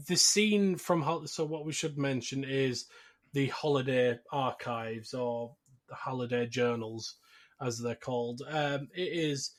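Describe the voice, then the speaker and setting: A man hosting a podcast, explaining a film's plot in a casual, conversational way. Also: medium pitch. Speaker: podcast host.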